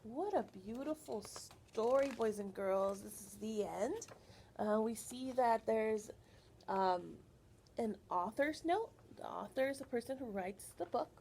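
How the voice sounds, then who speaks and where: A woman reading a picture book aloud to children, expressive, pausing to ask questions and explain like a teacher. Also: on the high side, a little hiss or hum.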